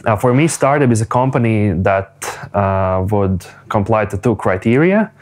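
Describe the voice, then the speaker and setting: A man's voice: fairly low pitch, animated, moderate pace. Methodical man listing criteria. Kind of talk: interview.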